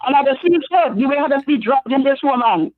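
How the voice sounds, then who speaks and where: A woman from Trinidad with a Trinidadian accent, calling in to a talk show and speaking hesitantly with many pauses. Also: sits high, animated, no echo. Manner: hesitant, lots of ums. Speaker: caller. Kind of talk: phone call-in. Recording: phone line.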